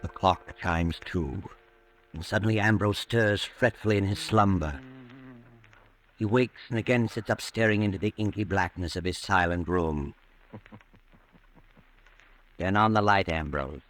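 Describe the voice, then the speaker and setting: Sinister low-voiced radio narrator. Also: male voice, a bit bright, a little hiss or hum, low-quality recording. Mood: ominous, taunting. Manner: slow, dramatic, taunting. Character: ominous, sinister all-knowing male narrator. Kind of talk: scripted suspense narration, 1940s radio.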